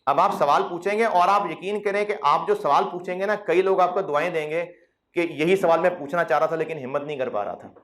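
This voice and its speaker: A man's voice: a little high, a little fast, a bit bright. A male accounting lecturer, talking to students in a classroom in a chatty, coaxing way. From Pakistan. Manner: conversational, coaxing, teasing with mock quotes.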